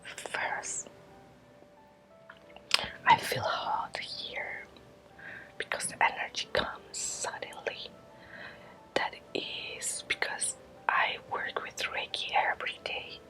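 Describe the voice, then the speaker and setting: A woman, a native Spanish speaker, giving an ASMR guided lesson as a Reiki teacher in a breathy whisper, in halting short phrases with long pauses. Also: pushed and bright, faint music behind, low-quality recording.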